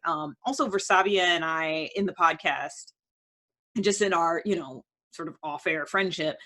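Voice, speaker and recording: Female host, voice a bit on the low side; informal explanatory monologue, conversational and thought out on the spot. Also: a bit bright. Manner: informal, self-referential, thinking aloud with examples. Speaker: host of a personality-typing show.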